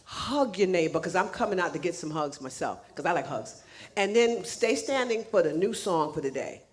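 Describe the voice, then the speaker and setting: A woman's voice: on the low side, lively intonation, moderate pace. A woman making casual announcements to a church congregation, chatty and laughing, with frequent restarts, speaking English as a second language. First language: not English. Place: church sanctuary.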